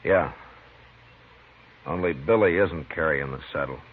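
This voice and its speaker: Casting: grim American lawman, very low voice, terse and steady; muffled vintage radio western. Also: slightly rough, full character voice. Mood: steady, businesslike. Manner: terse, measured. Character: steady, grim frontier marshal, a grown man. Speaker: lead character and narrator. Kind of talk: 1950s western radio drama acting. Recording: muffled, noisy 1950s radio recording.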